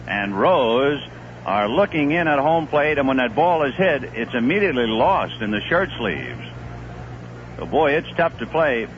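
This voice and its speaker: Guy doing baseball play by play, bouncy voice, old radio with faint hiss. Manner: steady, conversational play-by-play with live pitch calls. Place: stadium broadcast booth. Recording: muffled 1970s AM radio broadcast with faint hiss.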